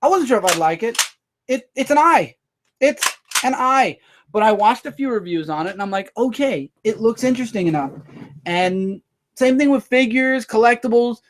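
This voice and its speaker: A man hosting a casual livestream, rambling through viewer comments with quick asides. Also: very high voice, expressive, noisy background. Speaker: livestream host.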